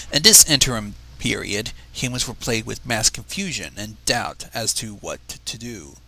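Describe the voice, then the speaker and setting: A man's voice: mid-range voice, gravelly, pushed and bright, rough recording. A man reading a story aloud in a dramatic, wry manner, slipping into casual asides between narrated passages. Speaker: livestream fanfic reader.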